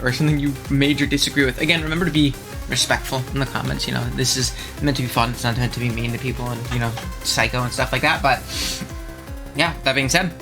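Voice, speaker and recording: A young man hosting his channel, chatting casually and animatedly about viewer takes, a little stuffed up with a cold. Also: mid-range voice. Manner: chatty and animated, frequent ums and laughs. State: stuffed up, congested. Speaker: channel host.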